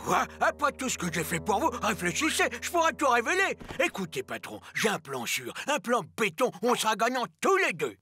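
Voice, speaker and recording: A man voicing a vain, whining, scheming rival chef in a cartoon, with a gravelly voice. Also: extremely high pitch, very animated, a little fast, hardly any pauses, music in the background. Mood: indignant. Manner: pleading, indignant. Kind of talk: cartoon voice acting.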